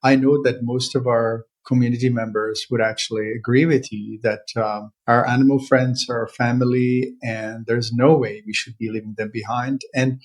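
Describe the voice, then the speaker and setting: A man hosts a conversation, his voice husky and slightly breathy. He talks earnestly and haltingly, circling back with fillers and restarts as he works through his thoughts. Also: medium pitch, little pitch movement, rough recording. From Czech Republic. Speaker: veterinarian podcast host. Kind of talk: casual interview conversation.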